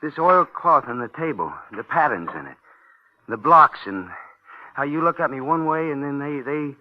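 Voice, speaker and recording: An actor playing a restless, haunted gangster on the run in a 1950s radio drama, halting and repeating his words. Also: a little high, animated, lightly acted. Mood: troubled, brooding. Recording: muffled old radio recording, faint noise.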